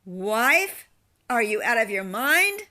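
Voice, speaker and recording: Actress as bright, halting captive woman. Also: fairly high pitch, very animated, heavily acted. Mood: furious. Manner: halting, gasping, swearing. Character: captive young woman, frightened, groggy, defiant. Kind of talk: horror radio drama acting.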